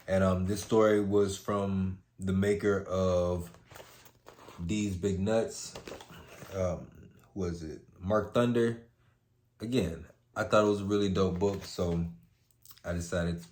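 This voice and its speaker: A man hosting a story time, with a laid-back, slangy manner, moving between casual chat and expressive read-aloud. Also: low-pitched. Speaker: host reading a story aloud.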